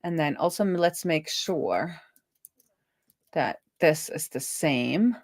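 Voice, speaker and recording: A woman with a fairly low voice talks through her code as she works on a livestream. She sounds casual and playful, with an animated delivery. The recording is dry and close, with little room sound. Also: takes it slowly, dry recording. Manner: animated, playful, breaks into laughter and song. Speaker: live-coding streamer, web developer. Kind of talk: casual think-aloud coding chat.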